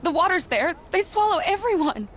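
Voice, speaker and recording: An actress playing a guarded, soft-hearted working girl in a video game, with a folksy Southern American drawl. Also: extremely high pitch, big pitch swings, normal speed, slight background noise, heavily acted. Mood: anxious, sorrowful.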